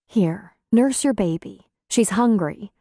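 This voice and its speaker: A woman reading the part of a caring, level-headed midwife in an audiobook, gentle, earnest and concerned. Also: mid-range voice, lively intonation, takes it slowly, no room sound, studio quality, voice pushed up. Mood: concerned, firm. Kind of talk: audiobook character dialogue.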